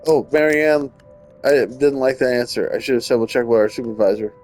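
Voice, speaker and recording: A man's voice: mid-range voice, a little fast, a little mellow, faint music behind. A man giving casual gameplay commentary and reading story text aloud, often stumbling and rereading. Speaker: gaming streamer.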